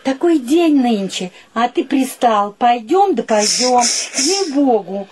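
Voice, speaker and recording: A woman's voice: fairly high pitch, big pitch swings, slow pace, darkish tone. A woman playing an embarrassed, scolding lady of easy virtue in a radio play, speaking in a colloquial, nagging way. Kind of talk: Russian radio play acting.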